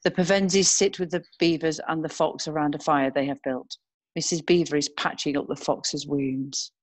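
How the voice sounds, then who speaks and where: A woman's voice: low-pitched, steady tone, normal speed. A woman narrator reading scene descriptions as scripted screenplay narration.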